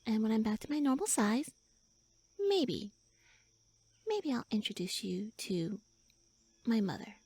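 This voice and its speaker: Woman acting a pouty, breathy tsundere elf girl. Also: a little high, big pitch swings, darkish tone, exaggerated voice. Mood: flustered, pouty. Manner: flustered, haughty, defensive, halting. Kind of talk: roleplay audio acting, addressed to listener.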